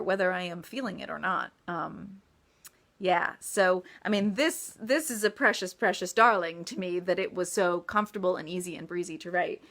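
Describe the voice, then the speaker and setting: A woman's voice: fairly low pitch, gravelly, fairly bright tone. A woman author answering reader questions in a casual, chatty livestream style with emphatic asides.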